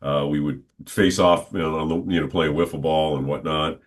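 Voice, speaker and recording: A man in his sixties, a guest on a podcast, telling stories from his childhood in an unhurried, conversational way. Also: very deep voice, fairly even intonation. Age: 60s. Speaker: interview guest, stadium announcer. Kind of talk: relaxed reminiscing conversation.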